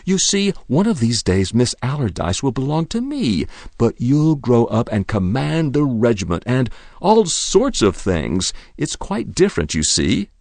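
A man's voice: medium pitch, very animated, a little slow, few pauses, darkish tone, no room sound, voice pushed up. A man reading aloud who voices a good-natured young army officer, hearty and coaxing.

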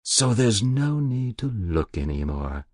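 A man's voice: on the low side, big pitch swings, takes it slowly, dry recording, studio quality, voice pushed up, heavily acted. A man voicing an elderly confessing woman. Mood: pained. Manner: quiet, pained, confessional.

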